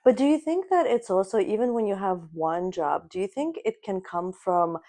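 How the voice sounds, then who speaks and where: A woman in her thirties, a native Russian speaker, co-hosting a casual podcast from her apartment couch, animated and quick to laugh. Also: medium pitch. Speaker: podcast co-host. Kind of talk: casual chat. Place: living room couch in an apartment.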